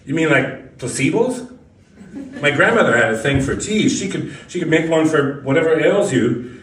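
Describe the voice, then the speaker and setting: A man's voice: on the high side, expressive, normal speed, fairly bright tone, voice pushed up. A man voicing a flippant, casual young woman applying for a job in a short story reading. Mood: flippant, offhand. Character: flippant, gum-popping young blonde woman job applicant. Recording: echoey room, distant mic, background noise.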